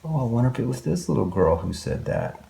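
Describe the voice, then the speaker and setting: A man's voice: medium pitch, sing-song, fast talker, vocal fry, dark tone. A man reading a children's story aloud expressively, with exclamations and wondering asides to young listeners.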